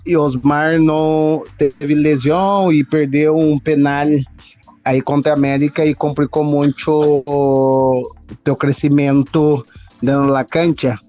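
A man's voice: on the high side, little pitch movement, slow pace, dark tone. A Brazilian man, a native Portuguese speaker, casually reminiscing as an interview guest and mixing two languages. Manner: mixes Portuguese and Spanish. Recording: dull lo-fi audio, music behind.

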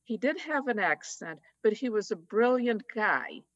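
A woman with a Boston accent, talking as a guest in a casual conversation, chatty and quick to laugh. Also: a little high, sing-song, no echo, dull audio. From United States. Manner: chatty, laughs easily, many fillers.

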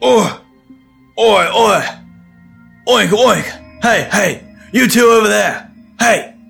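A man voicing a cheeky, matey male pig prisoner, oinking and shouting "oi". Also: animated, very slow, soft background music, heavily acted. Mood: eager. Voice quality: high squeaky gravelly voice, oinking. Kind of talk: storytelling podcast character voice.

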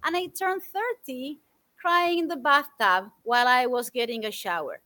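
A woman in her forties from Argentina, guest on an interview show, telling stories in an animated, thoughtful way with many fillers. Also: high-pitched, normal speed. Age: 40s. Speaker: guest speaker. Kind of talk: interview answers, storytelling.

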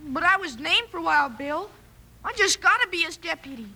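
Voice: very high voice, lively intonation, normal speed, sharp tone, full character voice. A performer playing an eager, stubborn fourteen-year-old boy in an old radio western, talking with pleading eagerness. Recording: slightly noisy old radio recording.

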